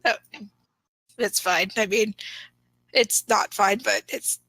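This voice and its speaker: Self-conscious young man, played by a woman, hesitant, kind, rambling, bright tone, for a fan audio drama. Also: medium pitch, fairly even intonation, slow, vocal fry, no echo, heavily acted. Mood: awkward, reassuring.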